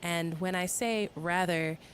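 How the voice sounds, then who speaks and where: A woman from the United States, a guest singer, speaking in a reflective, halting, conversational way in an interview. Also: on the low side, fairly even intonation, a bit bright, slight background noise.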